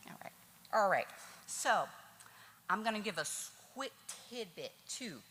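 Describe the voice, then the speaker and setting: A woman's voice: mid-range voice, expressive, a little slow, a bit bright. Chatty woman speaking in a big hall. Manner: nervous, chatty, addresses the audience directly. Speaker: church event speaker. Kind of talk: informal history talk at a tea event. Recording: hall reverb.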